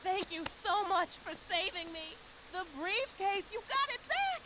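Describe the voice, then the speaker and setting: Very high-pitched grateful damsel. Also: female voice, lively intonation, a little slow, noisy background. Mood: grateful, relieved. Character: grateful female civilian in distress, breathlessly relieved. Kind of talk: video game voice acting. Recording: game audio under loud music.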